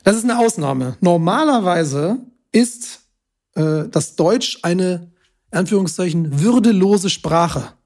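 A man's voice: sits high, lively intonation, takes it slowly, darkish tone. A man speaking German natively, explaining casually as a host, pedantic yet chatty. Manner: self-confessed language nerd, pedantic yet chatty. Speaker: podcast host explaining grammar.

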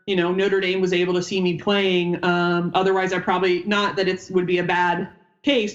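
Rambling thirty-something woman guest, muffled lo-fi sound, chatty. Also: fairly low pitch. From upstate New York, United States. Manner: chatty, rambling, wanders off mid-sentence. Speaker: former college basketball player and coach, guest. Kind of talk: casual podcast interview.

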